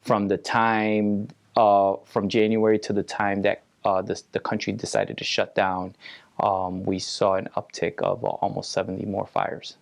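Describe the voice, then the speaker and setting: A man explaining things in an interview as an official, in a halting, measured way. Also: fairly low pitch, monotone, vocal fry, dry recording.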